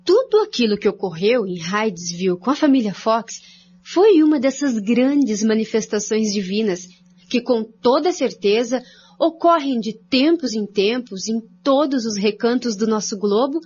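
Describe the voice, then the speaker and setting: A woman's voice: a little high, sing-song, measured pace, a little mellow, breathy edge. A woman with a Brazilian accent reading scripted narration for a radio drama. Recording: dull lo-fi audio.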